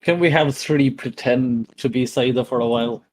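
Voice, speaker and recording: A man with an average-pitched voice takes part in a tabletop role-playing game. He speaks casually and tentatively, haltingly piecing ideas together as he goes. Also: fairly even intonation. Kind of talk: casual improvised game discussion.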